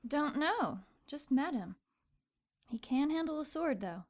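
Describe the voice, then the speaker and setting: Plucky young gal in audio drama, kinda high voice, skeptical and asks questions but up for it. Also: female voice, lively intonation, unhurried. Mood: hesitant.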